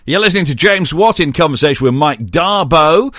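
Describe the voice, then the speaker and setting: A man hosting a podcast, asking casual, halting questions and reading out show details. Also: on the high side, animated, a bit bright, no room sound, studio quality. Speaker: podcast host. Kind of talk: interviewing, show announcements.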